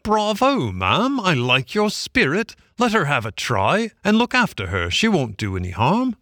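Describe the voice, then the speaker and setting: A man voicing a hearty gentleman motorist in a storybook reading, in a raised, slower voice. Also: on the high side, huge pitch swings, dry recording, studio quality, pitched above the performer's own voice, exaggerated voice.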